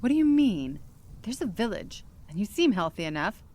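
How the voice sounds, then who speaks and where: A polite, earnest android woman, played by a woman in an audio drama. Her voice moves lively up and down, with steady noise and hum behind her. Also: mid-range voice, normal speed, close to an everyday voice.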